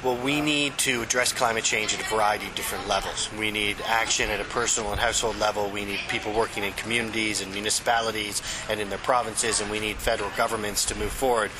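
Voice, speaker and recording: A man with a Canadian accent giving measured, explanatory interview answers, sometimes halting as he searches for words. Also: lowish voice, fairly even intonation, music in the background. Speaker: interviewed expert, advocacy executive director. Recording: noisy outdoor urban location.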